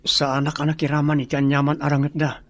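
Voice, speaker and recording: A man's voice: on the high side, completely flat delivery, fast talker, a bit bright, no echo. A man acting an earnest, respectful young student and hopeful suitor in a radio drama. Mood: earnest, pleading.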